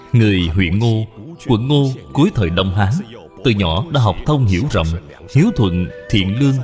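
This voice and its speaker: A man's voice: lowish voice, a little mellow, long reverb tail. A man narrating a scripted children's story.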